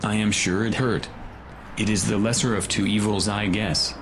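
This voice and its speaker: A man's voice: on the low side, fairly even intonation, normal speed, background noise, natural voice. A man playing a wry, matter-of-fact secret agent and reluctant conspirator in a sci-fi audio drama. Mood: wry, regretful.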